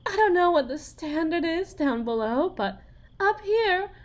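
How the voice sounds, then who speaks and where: A woman playing a desperate, wounded, wry farm wife in an ASMR drama roleplay, with a folksy, sardonic, halting delivery. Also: very high voice, lively intonation. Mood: wary, sardonic.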